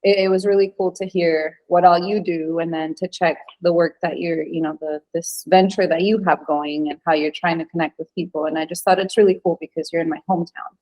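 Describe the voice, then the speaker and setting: A woman in her thirties, originally from Venezuela, talking easily as a guest on a podcast. Her voice sits a little low, and her speech is loose and full of "um"s and restarts. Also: steady tone, fairly quick, hardly any pauses, warm tone, low-quality recording. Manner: chatty, lots of fillers and restarts. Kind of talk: casual interview chat.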